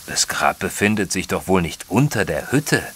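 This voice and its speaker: An actor playing an educated, observant gentleman who narrates his own story in a formal, literary audio drama style. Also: sits low, big pitch swings, moderate pace, slight background noise. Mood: polite, hesitant. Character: male narrator Mister Tristram, educated, observant, easily flustered gentleman. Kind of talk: scripted first-person audio drama narration.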